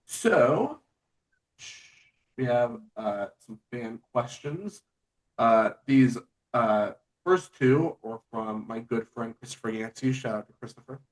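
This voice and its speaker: A man hosting an informal podcast interview, with a halting, conversational delivery. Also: mid-range voice, lively intonation, poor audio. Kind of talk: casual interview, reading out listener questions.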